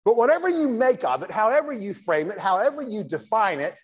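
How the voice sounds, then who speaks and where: High-pitched older man lecturing in a reverberant hall. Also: very animated, a little fast. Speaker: Baptist pastor, guest lecturer. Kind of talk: church lecture with preacherly delivery. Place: large church hall, speaking at a lectern.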